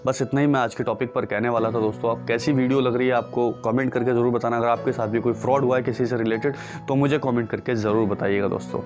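A young man in his twenties hosting a tech channel, talking earnestly and repetitively straight to the camera in a dark room, calling his viewers friends and brother. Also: medium pitch, steady tone, quick pace, few pauses, music in the background. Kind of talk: direct-to-camera awareness vlog.

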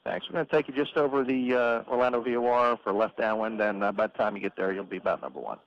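A man working as an air traffic controller, giving brisk, procedural instructions in radio phraseology with filler pauses. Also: lowish voice. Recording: muffled radio transmission with hiss.

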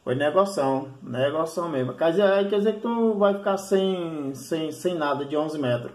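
A man's voice: a little high, animated, normal speed, a little mellow. A man in casual radio chat, explaining things colloquially and restating his points. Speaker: amateur radio operator. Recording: echoey room recording.

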